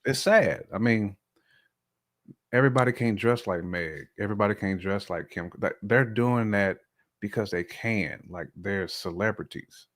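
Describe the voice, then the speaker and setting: A man's voice: fairly low pitch, moderate pace. An American man co-hosting a casual, opinionated chat, speaking bluntly in slang and repeating phrases for emphasis. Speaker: podcast co-host.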